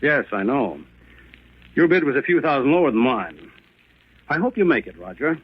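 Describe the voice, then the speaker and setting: Jovial colleague, teasing, animated, husky, 1940s radio drama, dull vintage sound. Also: male voice, medium pitch, moderate pace, no room sound. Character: breezy, amused colleague calming a worried friend. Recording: dull old radio recording.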